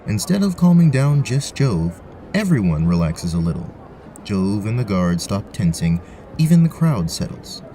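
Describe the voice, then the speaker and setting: A man's voice: lowish voice, very animated, normal speed, mellow, a little hiss or hum. A man narrating a scripted fantasy story as the game master of an audio drama.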